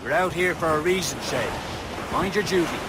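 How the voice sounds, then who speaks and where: An actor playing a stern, dutiful sailor and hardened Assassin in a video game, gruff and matter-of-fact. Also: male voice, a little high, normal speed, natural voice. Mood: stern, grim. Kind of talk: dramatic video game cutscene acting. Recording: noisy sea ambience behind the voice. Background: waves and ship ambience.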